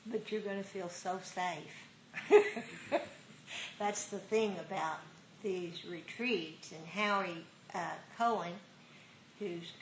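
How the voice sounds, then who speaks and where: A woman dharma teacher talking casually with a meditation group, unhurried and laughing often. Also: medium pitch. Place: meditation hall. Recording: roomy hall with faint noise.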